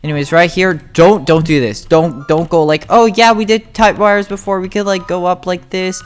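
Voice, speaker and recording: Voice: mid-range voice, fairly even intonation, normal speed, clean tone. Gamer doing a chatty tutorial, talks to viewers like buddies, music playing behind. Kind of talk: casual video game walkthrough commentary.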